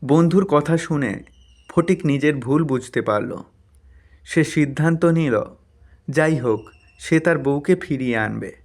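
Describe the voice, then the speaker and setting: A man's voice: on the high side, measured pace, long pauses, a little mellow. A man narrating a scripted cartoon story as a storyteller.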